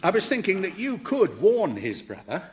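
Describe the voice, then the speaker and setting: A reasonable, lively-voiced do-gooder. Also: male voice, high-pitched, normal speed. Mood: slyly reasoning. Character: reasonable, compassionate human man challenging the Devil. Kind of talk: radio sitcom acting.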